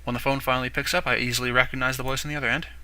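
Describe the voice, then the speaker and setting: A man's voice: mid-range voice, little pitch movement, pushed and bright, smooth. A man reading a visual novel aloud as a let's play commentator, often stopping to correct himself. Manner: quick narration with frequent self-corrections.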